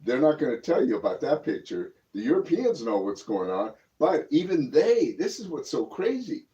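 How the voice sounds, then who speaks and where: A man's voice: medium pitch, very animated, moderate pace, warm tone. A man co-hosting a political talk show, giving casual, emphatic and opinionated commentary in a rambling way, often repeating words. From born in Germany. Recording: muffled lo-fi audio, distant microphone.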